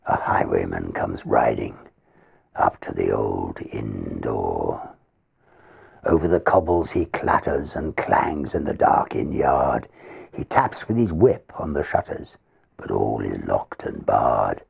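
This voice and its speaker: A man with a gravelly, husky voice reading stories and verse aloud in a theatrical, expressive manner. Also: very deep voice, slow pace. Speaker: ghost story reader. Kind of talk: dramatic literary reading, verse and prose.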